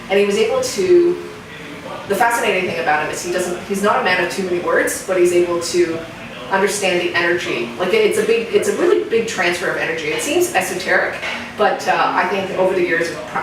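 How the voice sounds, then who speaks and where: A low-pitched, middle-aged woman with a Canadian accent speaks reflectively, often restarting her sentences. She is recorded from a distance in a very echoey room. Steady background noise sits under her voice. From Singapore. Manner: thoughtful, reflective, with frequent restarts. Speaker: interviewee, fitness client. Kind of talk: casual interview testimonial.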